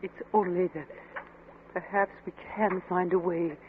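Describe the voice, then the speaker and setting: Casting: female actor as gentle grieving wife, old-time radio style, hesitant and slow, noisy vintage recording. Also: fairly low pitch, fairly even intonation, narrow, tinny audio, close to an everyday voice. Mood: grave, pained. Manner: hesitant, measured, tender. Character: gentle, sorrowful, devoted wife of a troubled scientist. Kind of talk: 1940s radio drama acting.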